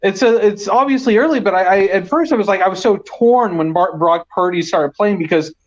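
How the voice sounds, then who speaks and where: A male caller from the San Francisco Bay Area, heard over a dull, lo-fi call line. His voice sits high for a man, and he rambles on in a casual way, tripping over his restarts. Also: brisk.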